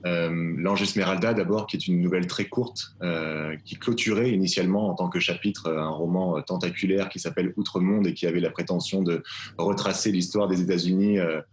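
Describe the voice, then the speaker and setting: A man presenting his stage adaptation live, speaking in measured, literary phrasing with frequent fillers. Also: sits low, steady tone, a little hiss or hum. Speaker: theatre director presenting his play. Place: live video call.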